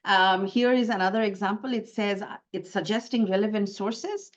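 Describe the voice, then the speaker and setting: A woman's voice: mid-range voice, moderate pace, muffled recording. A woman from Bangladesh with a Bangladeshi accent, a native Bengali speaker, presenting slides in a webinar in an earnest, explanatory way and stressing words by repeating them.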